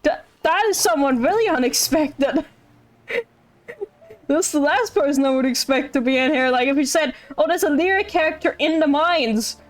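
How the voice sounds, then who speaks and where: Female game streamer, high voice, casual rambling commentary with playful repetition, light game music behind. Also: normal speed. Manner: rambling, repeats phrases playfully.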